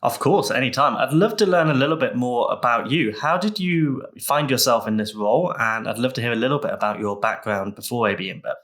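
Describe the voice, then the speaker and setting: A man's voice: medium pitch, fairly quick, hardly any pauses, a bit bright. A man hosting an interview show, giving introductions and asking questions in a friendly, polished way. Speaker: interview podcast host.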